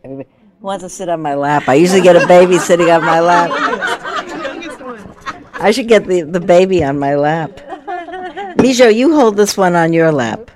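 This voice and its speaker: A woman's voice: on the low side, moderate pace, long pauses, a crowd in the background. A woman in her sixties, a meditation teacher, chatting informally and laughing with her group. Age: 60s. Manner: warm, chatty, laughing often. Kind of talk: informal talk to a retreat group.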